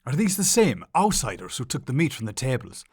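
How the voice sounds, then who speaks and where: A man's voice: medium pitch, very animated, fairly quick, slightly rough, dry recording, studio quality. A man playing a fierce, embittered farmer defending his land, with an Irish accent, in a dramatic film monologue. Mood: embittered, accusing.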